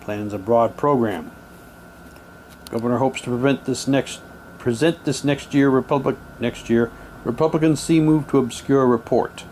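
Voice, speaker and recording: A man casually reading printed material aloud in a halting way, with muttered asides to himself. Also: mid-range voice, darkish tone, slight background noise. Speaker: unboxing presenter. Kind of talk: casual unboxing, reading old newspaper props aloud.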